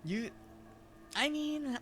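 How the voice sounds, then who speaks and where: A man's voice: very high voice, animated, extremely slow, fairly bright tone, a little hiss or hum. A young man from the Philippines with a Filipino accent, streaming a game and chatting in a rambling, self-deprecating way. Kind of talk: casual game-stream chat.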